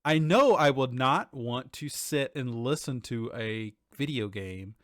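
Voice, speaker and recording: Slow-talking man bantering on a podcast. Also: medium pitch, lively intonation, fairly bright tone, no echo, studio quality. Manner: joking, sweary, laughs easily. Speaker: podcast co-host. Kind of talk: casual banter about video games.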